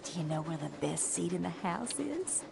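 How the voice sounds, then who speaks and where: An actress playing a sultry, playful femme fatale in a cartoon, coy and teasing in manner. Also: female voice, on the low side, big pitch swings, moderate pace, gravelly, noisy background, exaggerated voice. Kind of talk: cartoon acting. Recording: music behind cartoon dialogue.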